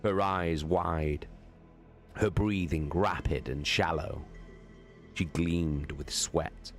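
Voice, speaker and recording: A man giving a dramatic, theatrical audiobook narration in a measured way. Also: low-pitched, soft background music. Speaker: narrator, live reader.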